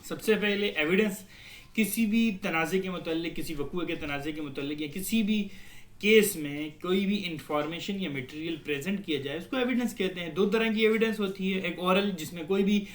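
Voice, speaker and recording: Echoey higher-voiced Pakistani man lecturing. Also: moderate pace. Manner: didactic, poses questions then answers them. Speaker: law lecturer. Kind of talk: explanatory lecture. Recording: echoey, roomy sound.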